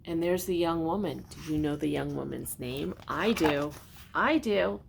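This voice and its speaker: An older woman reading a children's storybook aloud in a gentle, expressive way, often speaking directly to her young listeners with questions. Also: deep voice, a little slow, gravelly, noisy background.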